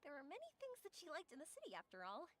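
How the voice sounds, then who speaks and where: A woman playing a cheerful, kind, excitable young woman in a fantasy video game, with a bubbly, earnest delivery. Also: high voice, very fast, exaggerated voice. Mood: warm, thoughtful. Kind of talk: animated video game voice acting.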